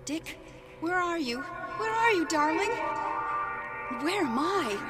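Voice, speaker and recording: A woman playing a dreamy, tender wife and mother in an audio drama, speaking in halting, breathless exclamations as if seeing visions. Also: extremely high pitch, lively intonation, heavily acted. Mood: dazed, searching. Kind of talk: emotive audio drama acting. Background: loud music.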